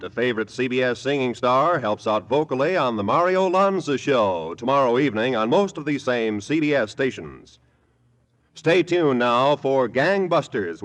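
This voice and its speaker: A man with an American accent announcing in a formal, measured mid-century network radio style. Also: medium pitch. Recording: vintage radio broadcast with music.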